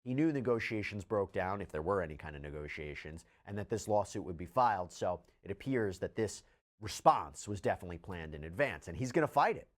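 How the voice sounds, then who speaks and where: Guy doing news analysis on legal stuff, really lively pitch, explains things with emphasis. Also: brisk. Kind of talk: news analysis commentary to camera.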